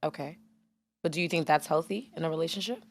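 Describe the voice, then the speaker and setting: A woman's voice: low-pitched, quick pace, no echo. A woman on a podcast, casually pressing her co-host with pointed questions. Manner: probing, asks pointed questions. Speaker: podcast guest. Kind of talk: casual conversation.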